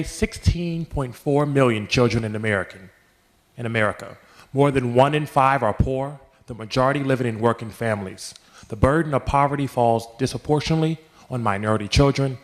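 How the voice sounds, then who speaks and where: A young man from Philadelphia, a student leader, reading a prepared statement in a measured, statistic-heavy delivery. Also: mid-range voice. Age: young adult.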